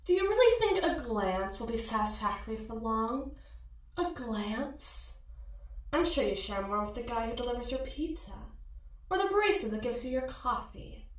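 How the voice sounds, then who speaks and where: A woman's voice: fairly high pitch, big pitch swings, normal speed. A woman playing a sly, seductive, mocking mirror alter ego in an ASMR roleplay, cooing and teasing. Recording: echoey, distant-sounding voice.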